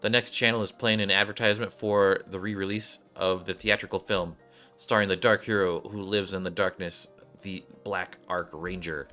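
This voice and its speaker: A man with a fairly low but bright voice reads game cutscenes aloud like a narrator. He drops in casual asides and rereads lines he trips on. Game music plays behind him. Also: fairly even intonation, moderate pace. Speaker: gaming streamer reading story scenes aloud.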